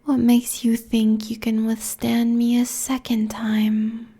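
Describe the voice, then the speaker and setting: A woman's voice: a little high, monotone, mellow, heavily acted. A woman playing a seductive, cruel succubus queen in an intimate ASMR roleplay, whispering haughtily close to a binaural microphone. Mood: amused, cruel. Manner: breathy, slow, condescending whispering.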